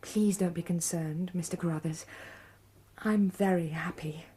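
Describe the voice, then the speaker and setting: An actress playing a polite, reserved young British governess with a proper manner. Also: female voice, fairly low pitch, fairly even intonation, unhurried, mellow, husky. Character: young Victorian woman, music governess, proper. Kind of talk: radio drama acting.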